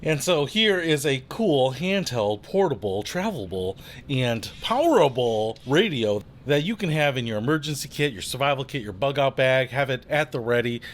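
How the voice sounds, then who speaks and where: A man's voice: mid-range voice, very animated, moderate pace, hardly any pauses. Upbeat man reviewing gadgets. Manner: upbeat, playful showman. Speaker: product reviewer and channel host. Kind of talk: casual product review. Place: studio.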